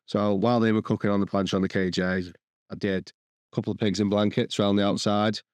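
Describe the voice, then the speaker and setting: Low-voiced man chatting casually. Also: little pitch movement, fast talker, a little mellow, dry recording. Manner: rambling, restarts sentences, lists recipe steps. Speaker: podcast co-host. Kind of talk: casual chat.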